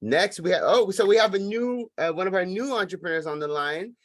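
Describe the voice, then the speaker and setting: A man's voice: high-pitched, animated, brisk, no echo. Dry-sounding man hosting a meeting. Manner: encouraging, easygoing. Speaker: meeting host. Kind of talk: informal hosting of an online business meeting. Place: online video call.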